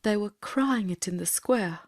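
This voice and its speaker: A woman's voice: mid-range voice, measured pace, darkish tone. A woman playing a troubled, earnest middle-aged male Victorian doctor in an audiobook drama, formal and fervent. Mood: feverish, insistent. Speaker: audiobook cast reader. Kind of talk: dramatic reading of Victorian fiction.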